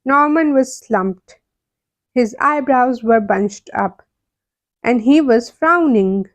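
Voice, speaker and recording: A woman reading a children's story aloud for language learners in a deliberate, expressive way. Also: a little high, no echo. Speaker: story reader for English learners. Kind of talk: scripted read-aloud children's narration.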